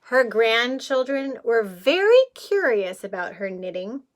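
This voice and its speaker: Slow, high-voiced woman reading to young children. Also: slight background noise. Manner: asks listeners questions, explains words simply; expressive storytelling, often chuckling. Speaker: kindergarten teacher. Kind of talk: children's picture book read-aloud.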